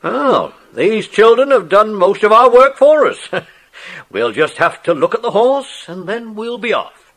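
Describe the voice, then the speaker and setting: An actor playing a brisk, jovial police inspector with a husky voice and a hearty, avuncular manner in a children's audio drama. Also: male voice, sits high, sing-song, a bit bright, exaggerated voice. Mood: hearty, delighted.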